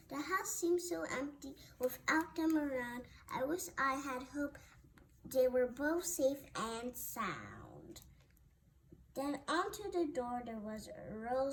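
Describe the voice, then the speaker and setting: A woman reading a rhyming children's Christmas story aloud, haltingly, often stumbling over and repeating words. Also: sits high, a bit bright. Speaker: storybook reader. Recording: noticeable background noise.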